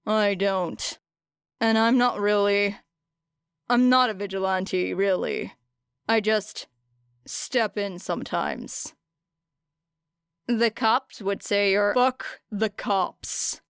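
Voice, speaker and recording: A woman voicing a gruff, irritable male vigilante who mutters curt, foul-mouthed lines in an audiobook. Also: medium pitch, slow pace, long pauses, no room sound, studio quality. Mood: guarded, curt. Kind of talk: audiobook character dialogue.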